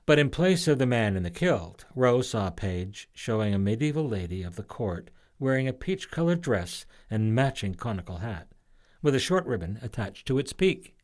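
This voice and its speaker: A man reading his own children's story aloud in an expressive scripted narration. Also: medium pitch, normal speed.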